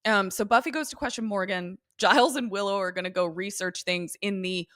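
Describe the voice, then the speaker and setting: A woman co-hosting a podcast, casually recapping a TV episode in slangy, sweary, animated storytelling. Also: mid-range voice, fairly quick, dry recording, clean studio sound. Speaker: podcast co-host.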